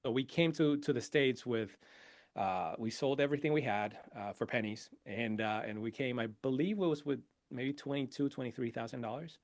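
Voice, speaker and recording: A man originally from Venezuela, Spanish his mother tongue, tells his family's story to a podcast host with energy and pride. His voice sits at an ordinary middle pitch, and the sound is dry and close to the mic. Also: normal speed, no room sound. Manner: energetic, proud, laughs often. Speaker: podcast guest. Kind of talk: casual interview storytelling.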